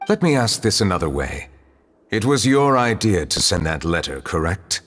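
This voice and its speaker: An actor voicing a calm, stern male judge in a video game who speaks in a measured, formal way. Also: sits low, full character voice. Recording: game audio with soft music behind.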